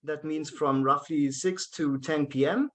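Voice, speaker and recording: German man telling his story with halting pauses. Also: fairly high pitch. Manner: hesitant, many fillers and restarts, laughs often. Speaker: guest, event organizer. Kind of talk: casual interview chat.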